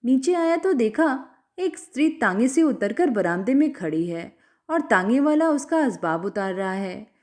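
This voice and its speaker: A woman storyteller narrates a literary tale from a script. Her voice sits fairly high and moves expressively up and down through the sentences. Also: very animated, unhurried, darkish tone.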